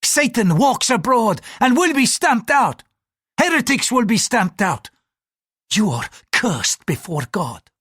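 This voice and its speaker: An audiobook reader voicing an irritable, fanatical Portuguese priest who speaks in an impatient, accusing, forceful way. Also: mid-range voice, very animated, a little slow, breathy edge, dry recording, studio quality, voice pushed up. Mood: furious, damning.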